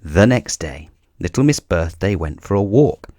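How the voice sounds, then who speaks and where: Guy reading a kids storybook out loud, lowish voice, really animated, dry sound. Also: a little slow, no room sound. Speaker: storybook reader for children. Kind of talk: expressive read-aloud narration.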